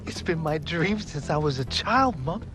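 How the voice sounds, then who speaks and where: A man's voice: a little high, expressive, moderate pace, close to an everyday voice. A young man playing an eager, ambitious eighteen-year-old footballer in a video game cutscene, with music behind. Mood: earnest. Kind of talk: cinematic game cutscene acting.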